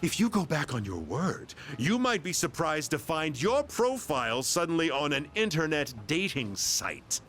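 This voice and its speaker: A man's voice: on the high side, sing-song, normal speed, few pauses, fairly bright tone, slight background noise. An actor voicing a smug, scheming male salesman who lectures in a sly, self-satisfied way. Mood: sly threatening.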